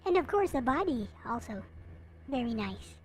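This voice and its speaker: A woman's voice: fairly high pitch, very animated, normal speed, darkish tone, a little hiss or hum. A woman hosting a livestream, chatting casually to viewers and replying to their comments. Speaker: livestream host. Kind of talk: casual livestream chat showing collectible figures.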